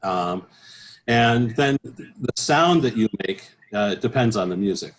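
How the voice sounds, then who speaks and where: A man speaking as an interview guest, explaining things conversationally as a choral professional, with halting, repeated phrases. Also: on the low side, expressive, low-quality recording. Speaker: interview guest, professional bass singer and choir director.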